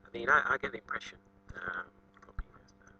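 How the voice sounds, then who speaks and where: A man interviewing on live radio, halting and repeating his words as he frames his questions. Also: medium pitch, pushed and bright, breathy edge. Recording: dull, distant lo-fi radio audio.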